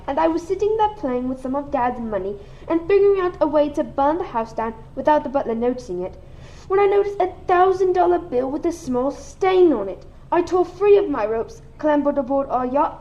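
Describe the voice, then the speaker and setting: Teen girl as spoiled rich kid, high and mellow, matter-of-fact testimonial for a comic radio spot. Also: lively intonation, moderate pace. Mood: smug, matter-of-fact. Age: teenager. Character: spoiled rich child giving a product testimonial. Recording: slightly dull lo-fi audio.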